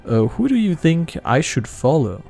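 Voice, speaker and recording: A man's voice: on the high side, big pitch swings, moderate pace, vocal fry, darkish tone. A man giving casual video game commentary, reading text aloud and adding wry asides. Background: game music.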